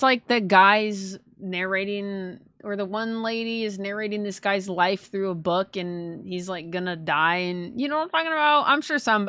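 A woman's voice: mid-range voice, sharp tone, no room sound. Gushing young woman streamer, dry close sound. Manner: gushing, slangy, playful. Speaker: streamer reacting to game trailers. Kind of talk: casual reaction commentary. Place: small indoor streaming room at home. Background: faint trailer music.

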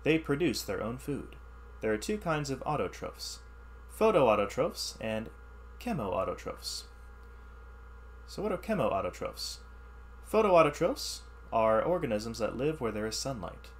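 A man reading a science ebook aloud as a teacher, pausing to explain the text in simpler words. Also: medium pitch, sing-song, slight background noise. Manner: reads text then explains in plain words. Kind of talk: read-aloud lesson with asides.

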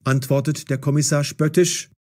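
A man's voice: on the high side, fairly even intonation, normal speed, darkish tone. A man narrating a scripted story as the narrator of an audio drama.

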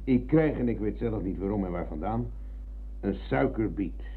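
An actor playing a proud, touchy older comrade and former prisoner of war, insistent and emphatic, in a Dutch radio drama. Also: male voice, lowish voice, expressive, normal speed, husky. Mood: reminiscing, eager. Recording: muffled, dull old radio recording.

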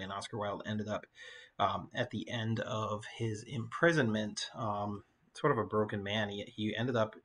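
A middle-aged man giving an informal English lesson, explaining and reading aloud in a conversational, halting way with asides. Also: on the low side, pushed and bright, breathy edge. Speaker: high school English teacher.

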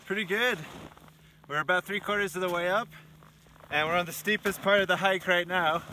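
A man's voice: high voice, brisk, pushed and bright, a little hiss or hum, low-quality recording. A young man in an easygoing, joking manner, chatting casually to camera while outdoors on a snowy mountain. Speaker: snowboarder, co-host.

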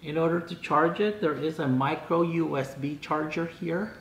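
A man's voice: a little high, fairly even intonation, moderate pace, fairly bright tone. A man in his sixties chatting casually and thinking aloud during an unboxing, with puzzled asides and bits read out. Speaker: vlogger. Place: home dining room, indoors. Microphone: camera across the table. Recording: roomy echo, clear noise, camera across table.

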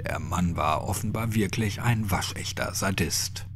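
A man's voice: low-pitched, a little slow, noisy background. A man reading scripted narration for a horror audiobook.

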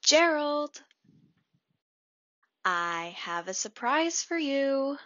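Storybook pig, cheerful and reassuring, read by a woman, slightly high, lively pitch. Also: extremely slow, dry recording, highs cut off, heavily acted. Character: cheerful patient pink pig, friendly.

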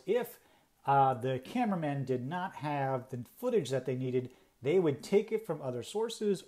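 A man's voice: medium pitch, darkish tone. A man presenting a conversational explainer, talking through points in an explanatory way and stressing key words.

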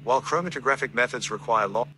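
A man's voice: medium pitch, quick pace, bright, forceful tone, a little hiss or hum. A British male synthetic voice reading scientific text aloud. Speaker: text-to-speech reader.

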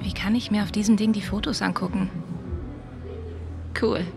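A woman's voice: mid-range voice, steady tone, moderate pace, creaky, music in the background, close to an everyday voice. A young woman playing a friendly, light-hearted bar worker in a video game, in natural acting. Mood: curious.

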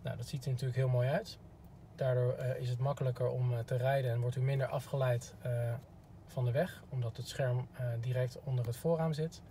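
A man's voice: medium pitch, fairly even intonation, a little fast, mellow. A man giving a polite, informal instructional demo as a car product expert, recorded inside a parked car. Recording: clear background noise.

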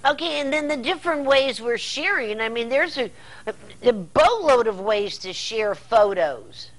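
Older American woman, fairly high, hosting. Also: animated, a little slow. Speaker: TV interview host. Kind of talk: casual talk-show interview. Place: indoor TV studio set.

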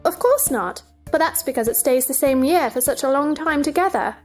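A woman's voice: sits high, lively intonation, normal speed, soft background music. A woman playing a polite, curious and easily indignant young girl in a stage play dramatization. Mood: puzzled, polite.

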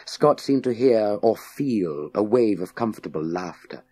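Male audiobook narrator for young people's sci-fi: low, very unhurried, suspenseful, with dramatic pauses. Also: lively intonation, dark tone, husky, dry recording. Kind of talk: scripted dramatic narration of a children's sci-fi story.

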